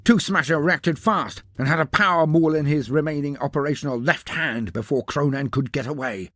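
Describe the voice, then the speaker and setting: A man's voice: fairly high pitch, moderate pace, fairly bright tone, no room sound, faint music behind. A man narrating a scripted fantasy tale in a theatrical, florid and wry manner. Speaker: storyteller narrator.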